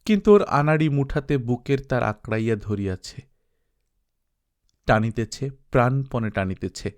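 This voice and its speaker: A man narrating a literary story as an audiobook, reading expressively and reflectively with dramatic pauses. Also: mid-range voice, lively intonation, darkish tone.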